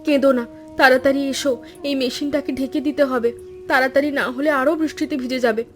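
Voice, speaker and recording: Woman acting a high-voiced, resilient cartoon wife. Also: steady tone, fast, lightly acted. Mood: concerned, urging. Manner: earnest, urging. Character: practical, resilient village weaver's wife. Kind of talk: Bengali animated story dialogue.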